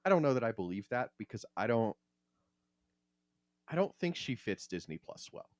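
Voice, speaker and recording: A man's voice: mid-range voice, sing-song, moderate pace, no room sound, clean studio sound. A man co-hosting a podcast, chatting casually in a wry way with frequent swearing. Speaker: podcast co-host.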